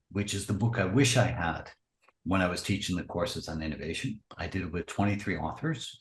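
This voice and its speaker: A man with a low voice introduces himself on a webinar in an upbeat, wry way. His audio is roomy, with the echo of the room around him. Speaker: entrepreneur and author, webinar co-host.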